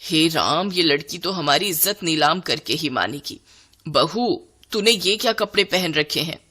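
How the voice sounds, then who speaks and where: A woman's voice: deep voice, animated, a little slow, a bit bright, pitched below the performer's own voice, full character voice. A woman voicing an exasperated middle-aged Indian mother-in-law in a cartoon story, scolding and fretting with dramatic exclamations.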